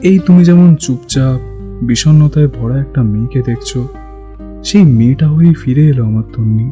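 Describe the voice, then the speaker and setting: A man's voice: medium pitch, normal speed, mellow, lightly acted. An actor playing a middle-aged father who confides earnestly and sadly about his grown daughter in an audio drama. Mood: sorrowful. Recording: music under the voice.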